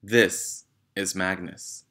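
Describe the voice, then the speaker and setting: A man voicing a menacing male ghost in a storybook, slow, deliberate and commanding. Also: low-pitched, steady tone, sharp tone, exaggerated voice. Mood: slow menace.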